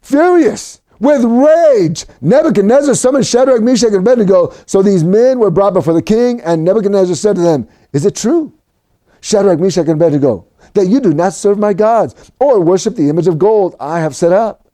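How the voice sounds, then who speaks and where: A man from Mexico preaching a sermon for an online service, animated and rising to shouts at his peaks. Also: high voice, normal speed. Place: home recording for a virtual service.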